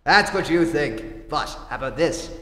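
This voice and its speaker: An actor playing an earnest, persistent newspaper reporter in an old-time radio play re-enactment. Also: male voice, fairly high pitch, lively intonation, unhurried, pushed and bright. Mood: earnest, insistent. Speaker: amateur theatre actor. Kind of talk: stage re-enactment of 1940s radio acting. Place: theatre stage, live performance. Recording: echoey hall, faint background noise.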